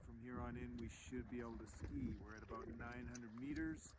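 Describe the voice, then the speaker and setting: A man's voice: mid-range voice, steady tone, fairly quick. Canadian guy vlogging on a glacier, chatty n upbeat, noisy outdoor audio. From Calgary, Canada. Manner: upbeat, chatty, matter-of-fact updates. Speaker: expedition member. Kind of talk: casual video diary on the trail. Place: outdoors on a glacier ice sheet. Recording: outdoor camera audio with noise.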